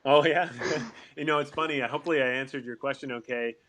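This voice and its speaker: Man, mid-pitched, casual chat, thoughtful, rambling, online audio room. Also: steady tone, brisk. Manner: thoughtful, rambling with restarts and soft laughs. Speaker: actor hosting a fan Q&A. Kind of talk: casual audio chat answering fan questions.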